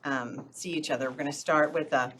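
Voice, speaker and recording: A woman's voice: sits low, fairly even intonation, fairly quick, fairly bright tone, a little hiss or hum. A woman moderating a local candidates debate, introducing the speakers in a friendly, informal way with some fillers.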